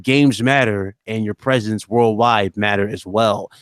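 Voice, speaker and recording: A man's voice: on the low side, fairly even intonation, a little slow, clean tone, dry recording. Male gaming podcast host, close dry sound. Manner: informal, teasing, addresses co-hosts and chat. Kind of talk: casual live-stream chat and news commentary.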